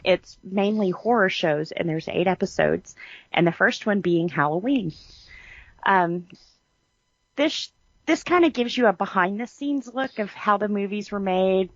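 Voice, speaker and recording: A woman's voice: mid-range voice, no echo. A woman co-hosting a podcast, talking casually in a chatty, informal storytelling way. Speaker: podcast co-host. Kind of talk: casual chat. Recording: dull, lo-fi sound.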